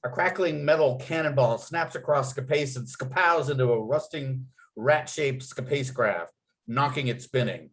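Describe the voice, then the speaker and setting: A man's voice: mid-range voice, moderate pace, fairly bright tone. A man acting as narrator at a table read, reading out script and stage directions.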